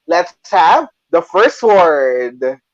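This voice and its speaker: A man's voice: fairly high pitch, very animated, drawn-out words, no echo. Chatty male host, dull dry audio. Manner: teasing, code-switching, many fillers. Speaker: interviewer host. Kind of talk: casual live chat game hosting.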